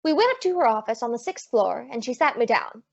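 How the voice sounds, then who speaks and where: A woman's voice: a little high, animated, fast talker, creaky, darkish tone. A woman playing a breezy, wisecracking private eye, narrating and acting in an old-time radio detective show. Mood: wry narration. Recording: dull lo-fi audio.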